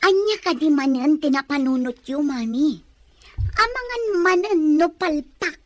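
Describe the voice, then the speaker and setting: High-pitched petulant scheming daughter. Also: female voice, lively intonation, measured pace. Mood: doubtful, petulant. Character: scheming young woman, petulant daughter obeying her mother. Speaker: radio drama actor. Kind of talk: Ilocano radio drama acting.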